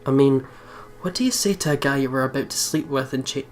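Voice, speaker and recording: A man's voice: medium pitch, fast, a little hiss or hum. A man hosting a let's play, reading a visual novel aloud with casual commentary and sometimes stumbling over the words. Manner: giggly, stumbles while reading. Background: faint game music.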